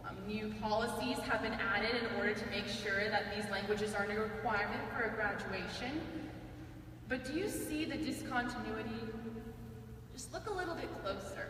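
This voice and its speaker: Young woman giving a speech in an echoey hall. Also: a little high. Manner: earnest, measured, with dry humor. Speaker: student speaker. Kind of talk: prepared speech. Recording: echoey hall, mic far off, faint hiss.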